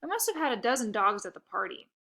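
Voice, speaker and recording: A woman reading a children's book aloud in an expressive narrating style. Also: fairly high pitch, quick pace, gravelly. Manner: expressive, occasional stumbles over words. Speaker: storybook reader.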